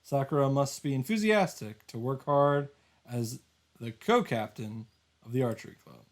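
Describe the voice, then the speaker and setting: A man's voice: medium pitch, lively intonation, moderate pace. A man reading visual novel narration aloud as a streamer, with informal, casual reactions. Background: game music.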